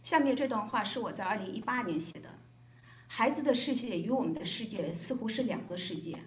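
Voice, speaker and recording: A woman's voice: fairly high pitch, animated, brisk, slight background noise. A woman from Shanghai, a volunteer reading a prepared, reflective speech at a gathering. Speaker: volunteer speaker. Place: hotel event room. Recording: echoey event room.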